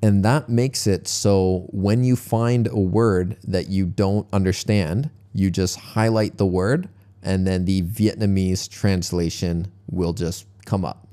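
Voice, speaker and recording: Young male English tutor, unhurried and mellow, relaxed step-by-step explainer. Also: low voice. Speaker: English teacher. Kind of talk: casual tutorial for learners.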